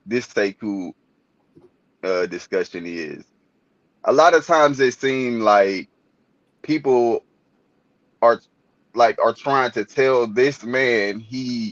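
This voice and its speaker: A man calling in, heard over a dull, lo-fi line with no room sound at all. His pitch is ordinary. He talks earnestly and haltingly, full of fillers. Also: no echo. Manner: hesitant, filler-heavy, earnest. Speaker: caller. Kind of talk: casual call-in chat.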